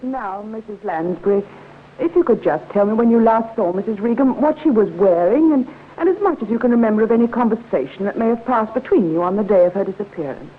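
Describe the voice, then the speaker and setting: An actress playing a brisk, dutiful police sergeant, politely firm and official, in a classic radio mystery. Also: female voice, medium pitch, lively intonation, close to an everyday voice. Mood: businesslike. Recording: tinny, noisy old radio recording.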